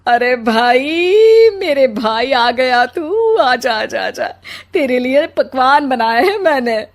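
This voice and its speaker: A woman's voice: high voice, very animated, slow, exaggerated voice. A woman voicing a jealous, bossy older mother-in-law in a comic cartoon story, complaining dramatically. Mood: doting.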